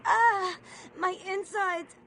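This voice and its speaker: A woman's voice: extremely high pitch, steady tone, extremely slow, a bit bright, heavily acted. An actress playing a young woman in a grim vampire video game. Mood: agonized, pleading. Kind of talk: video game character acting.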